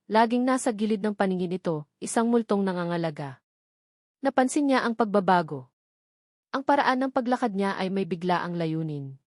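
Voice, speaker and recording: A woman's voice: medium pitch, darkish tone, dry recording. Woman narrating a dramatic story, dry recording.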